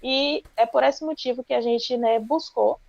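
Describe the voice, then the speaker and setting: Creaky-voiced Bahian woman, dull roomy sound. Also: on the high side, measured pace, darkish tone. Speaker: guest, political pre-candidate. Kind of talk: speech to a live stream audience. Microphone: video call. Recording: dull, roomy video call audio.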